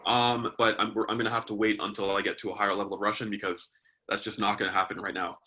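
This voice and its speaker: A man's voice: on the low side, level tone, fast talker, sharp tone. A man from Canada, a guest in a casual interview, speaking thoughtfully and hesitantly with frequent ums.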